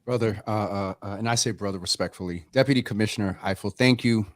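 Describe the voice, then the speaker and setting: A man's voice: fairly low pitch, fast, dry recording. A man hosting a live talk show, speaking in a familiar, casual way with many fillers, reading out viewer comments.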